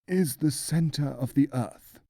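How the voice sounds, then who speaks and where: A man's voice: fairly high pitch, slow, warm tone, no room sound, clean studio sound, pitched above the performer's own voice. A man voicing a challenging learned philosopher in a storybook, formal and probing. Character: challenging male philosopher, solemn learned disputant. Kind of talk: storybook character voice.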